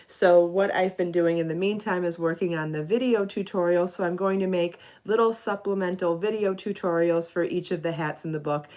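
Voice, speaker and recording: A woman's voice: fairly low pitch, darkish tone. A middle-aged woman, slightly stuffy from allergies, chatting casually on a live podcast and greeting viewers by name. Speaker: knitting and crochet designer hosting a live podcast.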